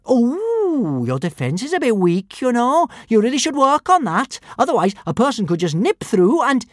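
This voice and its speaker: A fierce little warrior girl, pitched far up and swinging wildly. She is cocky and bossy, chattering and taunting as she goes. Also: sing-song, normal speed, no room sound, clean studio sound.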